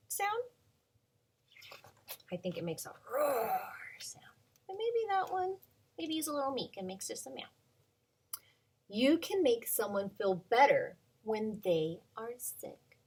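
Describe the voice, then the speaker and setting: Teacher lady reading a kids book, kinda high and bouncy, slow with lots of ums. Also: very animated. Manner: gentle, slow, sing-song read-aloud with many ums. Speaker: preschool teacher. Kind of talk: reading a picture book aloud to children.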